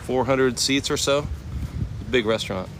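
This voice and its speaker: A man's voice: mid-range voice, a little slow. An American man in his forties telling stories casually to live viewers in laid-back, slangy talk while walking outdoors. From United States, West Coast. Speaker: chef and livestreamer. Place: walking outdoors in a temple complex. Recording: noisy outdoor ambience, passing vehicles.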